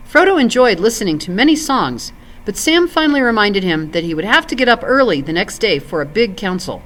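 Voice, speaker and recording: A woman with a mid-pitched voice reads aloud as a volunteer storyteller. Her intonation moves widely and lively, with expressive phrasing suited to a family story hour. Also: a little fast. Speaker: volunteer story reader and ESL teacher.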